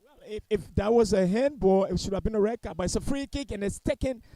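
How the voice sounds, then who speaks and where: A male football commentator with a high-pitched, mellow voice. He calls the match live and offers blunt, critical opinions, repeating phrases for emphasis. The sound is dry and close. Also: fast, no room sound.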